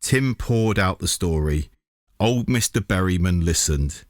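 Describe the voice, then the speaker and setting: Low-voiced man reading a storybook, unhurried. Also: expressive, dry recording, studio quality. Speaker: reader of a children's book. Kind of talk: scripted storybook narration.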